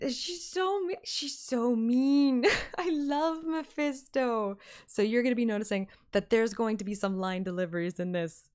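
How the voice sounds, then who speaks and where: A woman's voice: fairly high pitch, a little slow, darkish tone, clear, resonant tone. A woman streaming a game, reading its story aloud like narration and chatting casually about it. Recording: game music under the voice. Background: game soundtrack music.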